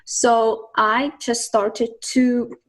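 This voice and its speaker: A woman from Siberia, a guest talking reflectively about her life and work, often pausing with ums and restarts. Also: a little high, flat delivery, a bit bright, low-quality recording. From Russia (Siberia). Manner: reflective, thinks aloud with many ums and restarts. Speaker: guest, mindset coach. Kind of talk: casual interview talk.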